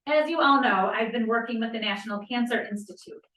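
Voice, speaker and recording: A woman's voice: on the high side, fast, a bit bright, natural voice. Woman playing organized proud activist lady running the meeting, play reading, echoey and far from the mic. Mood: upbeat. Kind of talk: staged table read of a play. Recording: roomy, distant room microphone.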